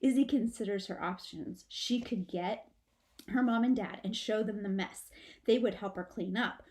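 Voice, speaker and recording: A woman reading a children's book aloud like a teacher, with dramatic, expressive shifts of emphasis. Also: mid-range voice, moderate pace. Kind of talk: expressive storybook read-aloud.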